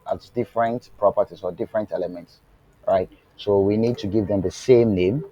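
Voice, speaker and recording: Deep-voiced man teaching a coding class. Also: no echo, dull audio. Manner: asks rhetorical "what?" questions and lets the class fill in the answer; checks on the class with "are you getting it?". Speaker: web development instructor. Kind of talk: live online coding lesson. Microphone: remote class, voice faint at times.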